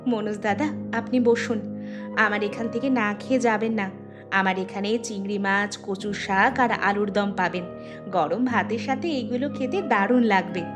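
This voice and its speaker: A woman's voice: mid-range voice, fairly even intonation, normal speed, lightly acted. A woman playing a polite, hospitable village food seller in a moral-story cartoon, courteous and inviting. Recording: music clearly behind the voice.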